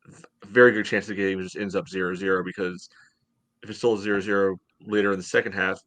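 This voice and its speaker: A man's voice: low-pitched, level tone, fast talker, fairly bright tone. A middle-aged American man co-hosting a podcast, casually analyzing sports from home over a video call. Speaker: podcast co-host.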